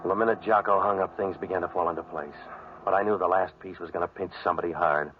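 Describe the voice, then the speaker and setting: A hardboiled private eye played by a man with a low voice and rapid, clipped delivery. He is cynical and wisecracking, in the manner of 1940s radio noir, on a muffled, noisy old recording. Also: fairly even intonation, soft background music, lightly acted. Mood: dry, cynical. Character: hardboiled, world-weary male private investigator narrating his case.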